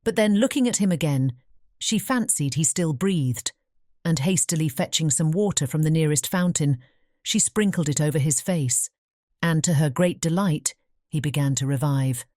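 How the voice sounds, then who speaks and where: A woman narrating a scripted fairy tale audiobook as a measured storyteller. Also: deep voice, dark tone, no echo, studio quality.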